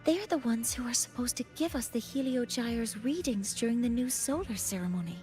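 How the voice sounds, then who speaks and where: Brief: a woman as a careful female professor, slightly high voice, faint music under. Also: animated, dark tone, natural voice. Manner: measured, formal.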